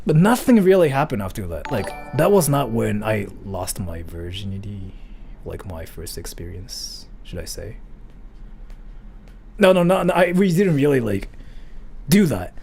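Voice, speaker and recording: A man's voice: fairly low pitch, animated, measured pace, gravelly, a little mellow, soft background music. A man from Japan, rambling through a casual story on a livestream with lots of filler words. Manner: rambling, heavy use of "like" fillers. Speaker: streamer.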